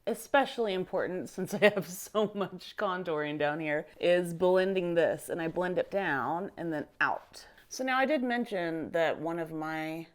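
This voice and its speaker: A woman in her thirties with a low voice, chatting casually while doing her makeup for a vlog, upbeat and self-deprecating. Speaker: vlogger. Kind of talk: casual get-ready-with-me vlog.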